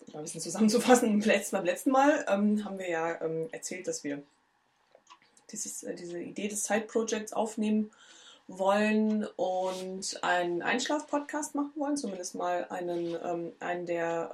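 A woman co-hosting a podcast, a bit hoarse, chatting casually and rambling with many fillers and restarts. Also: fairly low pitch, darkish tone. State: slightly hoarse. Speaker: podcast co-host. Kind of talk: casual chat.

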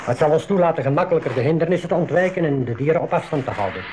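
A man acting as a calm, experienced safari guide who instructs and reassures his group in a radio drama. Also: on the high side, racing, noisy background, close to an everyday voice. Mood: calm, instructive.

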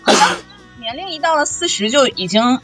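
A woman's voice: high-pitched, expressive, normal speed, a bit bright. A woman hosting a casual chat among voice actors, prompting her guests. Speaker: host and voice actress. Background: background music.

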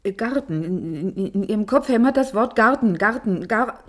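A woman's voice: medium pitch, expressive, lightly acted. Intense, urgent woman visionary, played by an actress. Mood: bewildered, agitated. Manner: urgent, intense, halting when unsettled. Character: commanding, visionary woman leading a thought-transfer experiment, growing bewildered. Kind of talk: German radio play acting.

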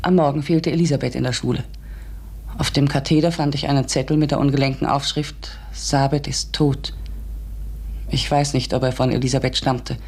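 An actress playing an earnest, unsettled schoolteacher, both narrating and speaking in a German radio drama. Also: female voice, deep voice, darkish tone, slightly rough. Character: earnest, unsettled woman schoolteacher telling her strange story. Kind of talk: German radio drama acting, first-person narration and dialogue.

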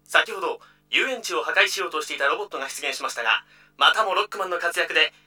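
A formal newsreader delivering a scripted bulletin. His voice is very bright and forceful and his delivery rapid, within an audio drama. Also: fairly high pitch, expressive, faint music behind, heavily acted. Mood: urgent, formal. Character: brisk male news announcer reading a bulletin.

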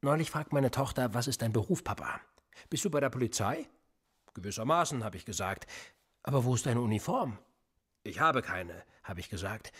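Radio drama: sardonic middle-aged police interrogator, husky, highly animated delivery, pressing and needling. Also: male voice, moderate pace. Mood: calculating, weary. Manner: pressing, needling, rhetorical questioning. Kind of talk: German radio play acting.

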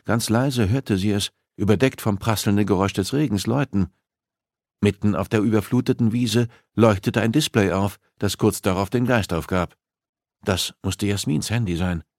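Male audiobook narrator, fairly low register, dry sound, scripted dramatic suspense storytelling. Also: normal speed, a little mellow, no echo.

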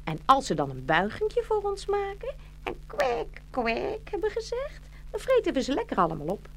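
A woman playing a motherly stork in a children's fairy-tale radio play, brisk and animated, scolding and coaxing by turns. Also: very high voice, heavily acted. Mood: coaxing, playful.

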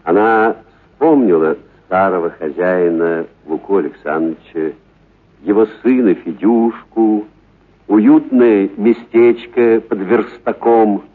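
A man reading literary prose for radio as an actor, with dramatic, deliberate pauses and stressed words. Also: lowish voice. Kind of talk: expressive literary narration for radio. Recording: narrow, phone-like radio recording.

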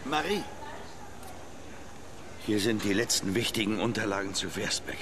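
A scheming, condescending man of influence in a radio play. His voice is very low, and he speaks in a measured, dry, insinuating way. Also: lively intonation. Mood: urging, insinuating. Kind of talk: radio drama acting. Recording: music or ambient noise behind.

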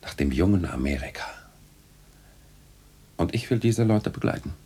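An actor playing a wry, restless male caricaturist in a radio drama, husky and theatrical, with formal old-fashioned address. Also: low-pitched, animated, normal speed, dark tone, full character voice. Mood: ironic, resolute. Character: wry, restless caricaturist bidding his neighbour farewell. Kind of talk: literary radio drama acting.

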